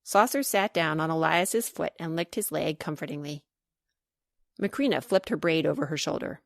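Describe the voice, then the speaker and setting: A woman reading a children's storybook aloud as scripted narration, expressive and clearly paced. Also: low voice, normal speed, no room sound, clean studio sound. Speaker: author reading her children's book.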